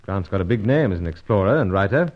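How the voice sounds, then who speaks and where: Low, lively gentleman secret agent. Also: fast. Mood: reassuring. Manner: clipped, hesitant, old-fashioned gentlemanly. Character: gallant, guarded British secret agent, man. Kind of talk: old-time radio adventure serial acting. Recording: dull old radio recording.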